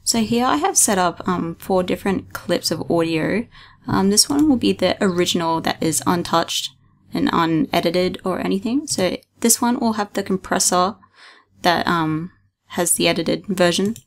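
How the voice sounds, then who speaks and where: A young woman hosting a casual screen-recorded software tutorial, talking hesitantly with frequent ums and restarts into a desktop mic. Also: fairly low pitch, lively intonation, normal speed, gravelly. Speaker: video tutorial host.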